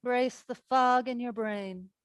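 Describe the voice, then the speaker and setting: Stage play reading: anxious high-voiced woman, played by an actress, dry recording. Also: steady tone, slow, clean tone, no echo, muffled recording. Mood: wry, anxious.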